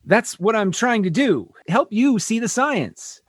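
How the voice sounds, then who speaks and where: A man's voice: high voice, sing-song, measured pace, breathy edge, full character voice. A man playing an exasperated, tactless human starship captain in a sci-fi radio comedy, blustering and pleading as he talks.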